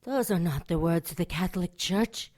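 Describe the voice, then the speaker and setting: A woman's voice: on the low side, lively intonation, moderate pace, darkish tone. A woman playing a frightened but defiant female demon in an audio drama. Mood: defiant, desperate.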